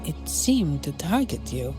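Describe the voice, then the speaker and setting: Low-voiced, composed female bodyguard. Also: sing-song, music in the background, rough recording. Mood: calm, businesslike. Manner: measured, matter-of-fact, reserved. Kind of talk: video game story dialogue acting.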